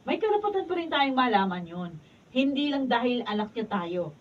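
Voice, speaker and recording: An actress playing a resentful adult daughter, sharp-tongued and gossiping, in a radio drama. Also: female voice, on the high side, sing-song, normal speed, recorded from a distance, highs cut off.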